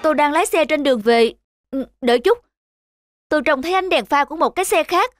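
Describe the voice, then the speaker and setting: A woman voicing a bewildered, excitable man who has just died, in an animated fantasy. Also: sits high, quick pace, dry recording, studio quality. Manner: shocked, excitable. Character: panicky, bewildered newly dead office man. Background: background music.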